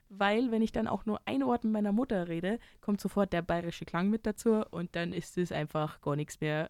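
Young woman from Bavaria, podcast co-host, rambling and self-deprecating, mid pitch, dry close sound. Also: vocal fry, no room sound. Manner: rambling, self-mocking, laughs often. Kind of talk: casual chat.

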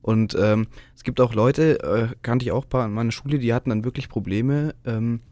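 Young man, radio co-host, relaxed and agreeable, mid-pitched, dry sound. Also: normal speed, dry recording. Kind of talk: casual radio chat.

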